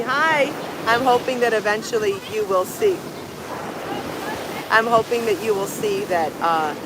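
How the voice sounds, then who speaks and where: Middle aged lady, kinda high voice, bit hoarse, rambling excitedly on a beach, loud waves behind her. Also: very noisy. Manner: enthusiastic, rambling, repeating herself. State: slightly hoarse from allergies. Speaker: show host and crochet book author. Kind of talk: casual livestream chat to viewers. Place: outdoors on a sandy beach by the sea. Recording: outdoor recording with loud ocean surf. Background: waves and surf.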